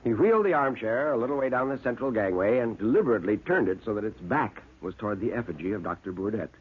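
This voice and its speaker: A man narrating a horror tale in classic radio style, deliberate and full of dramatic suspense. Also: on the low side, animated, breathy edge. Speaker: storyteller and narrator. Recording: muffled narrow-band old radio recording.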